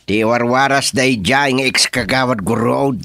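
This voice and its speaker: A man's voice: mid-range voice, moderate pace, vocal fry, bright, forceful tone, no echo, clean studio sound. A man acting an aggrieved village husband in a radio comedy drama, complaining defensively with an Ilocano accent.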